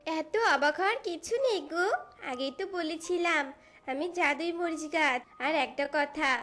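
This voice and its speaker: A talking magic tree from a fairy-tale cartoon, voiced by a woman. The voice is very high, and it explains and reassures in a kindly way. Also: moderate pace, a bit bright, soft background music. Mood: kindly reassuring.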